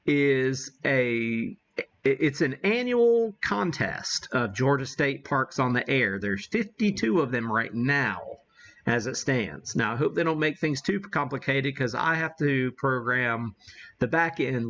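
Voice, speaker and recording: A man's voice: medium pitch, big pitch swings, measured pace, fairly bright tone, dull audio. A man hosting a ham radio livestream, chatting casually in a rambling, self-deprecating way as he demonstrates. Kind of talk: casual live-stream chat and demonstration.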